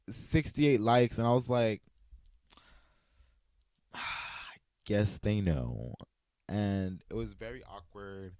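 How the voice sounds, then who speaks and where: A young man from the States telling personal stories in a casual sit-down chat, animated and playful, quoting himself in a higher voice. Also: smooth, no echo, studio quality. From United States. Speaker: vlogger.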